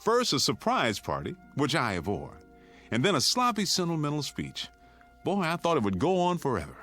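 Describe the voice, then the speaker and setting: An American man plays a jovial doctor in a radio drama. His pitch is slightly high and leaps about a great deal as he banters and mock-grumbles. Faint music sits behind him. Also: huge pitch swings, moderate pace, a bit bright, exaggerated voice. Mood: touched, teasing. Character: jovial, gruffly bantering doctor, the birthday host.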